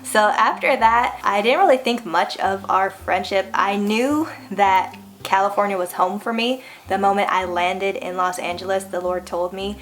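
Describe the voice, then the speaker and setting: A woman's voice: medium pitch, normal speed, a bit bright, soft background music. Chatty laughing woman telling a story. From Hawaii. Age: 30s. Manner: chatty, laughs often, lots of "like". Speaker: vlogger host. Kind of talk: casual storytelling chat.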